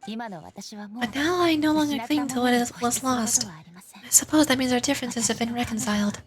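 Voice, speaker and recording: A woman voicing a calm, dignified female ruler as she reads game dialogue aloud, formal, measured and reflective. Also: a little high, a crowd in the background, natural voice. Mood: solemn, thoughtful. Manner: formal, measured, occasional misreadings. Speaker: VTuber streamer.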